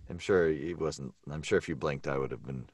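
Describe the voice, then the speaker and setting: Deep-voiced man in casual chat. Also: brisk, no room sound. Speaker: voice actor on a horror podcast.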